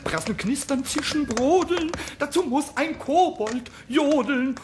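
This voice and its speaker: A man playing a mischievous, childlike little kobold in a children's radio play, squeaky and scratchy, stammering eagerly and slipping into rhymes. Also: a little slow, reverberant. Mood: gleeful, singing. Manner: stammering eagerly, rhyming, sing-song exclamations.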